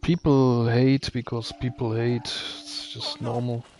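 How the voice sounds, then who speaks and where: A man's voice: mid-range voice, level tone, measured pace, a little mellow. A man giving casual live video game commentary, muttering to himself as he plays.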